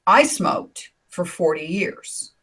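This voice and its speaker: Older woman, raspy, slow and lowish, rambling co-host on a video call. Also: animated, a bit bright. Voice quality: raspy from decades of smoking. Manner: rambling, with many fillers and asides. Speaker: co-host of an online hangout. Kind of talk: casual chat on a video call. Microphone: computer mic on a video call.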